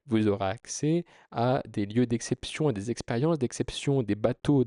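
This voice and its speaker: A man's voice: medium pitch, mellow, no room sound, clean studio sound. A man speaking as a video creator, explaining informally to viewers in an earnest, persuasive way with emphatic phrasing. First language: French. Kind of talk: informal explanatory talk to camera.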